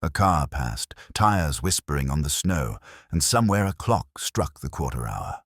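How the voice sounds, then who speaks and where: A man playing a melancholy, pedantic police inspector, narrating a mystery in a measured, literary and brooding way. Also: rumbling low, big pitch swings, a bit bright, breathy edge, no echo, studio quality, heavily acted. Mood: brooding, uneasy. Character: melancholy, pedantic male police inspector recounting an old case. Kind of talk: scripted first-person mystery narration.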